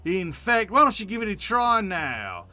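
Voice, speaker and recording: A man's voice: sits high, big pitch swings, unhurried, bright, forceful tone, full character voice. A man voicing a flamboyant, cheerful poetry enthusiast in theatrical, florid fashion, reading game dialogue aloud. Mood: cheerfully grandiose. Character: flamboyant, cheerful poetry lover pushing a shy friend.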